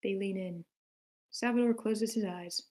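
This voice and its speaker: A woman's voice: medium pitch, a little slow, mellow. A woman reading stage directions as the narrator of a screenplay table read.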